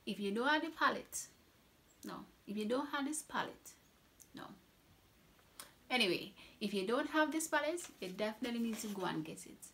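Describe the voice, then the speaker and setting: A woman's voice: on the high side, animated, normal speed, pauses often, fairly bright tone, a little hiss or hum. A young woman from Trinidad, a makeup artist reviewing products in a casual vlog, enthusiastic and repeating words for emphasis.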